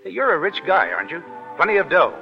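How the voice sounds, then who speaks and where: A man's voice: high voice, huge pitch swings, quick pace, creaky, soft background music, exaggerated voice. An actor playing a brash, self-effacing American Foreign Legion private in 1930s radio drama, with blunt, slangy tough-guy talk. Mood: awkward, probing. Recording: vintage 1930s radio, dull, hall reverb.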